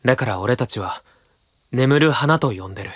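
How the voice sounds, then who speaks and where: Husky, sincere young knight. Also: male voice, medium pitch, expressive, normal speed, dry recording, clean studio sound. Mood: calm. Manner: gentle, earnest, plain-spoken. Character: sincere, soft-spoken, patient young knight leader. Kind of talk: anime audio drama acting.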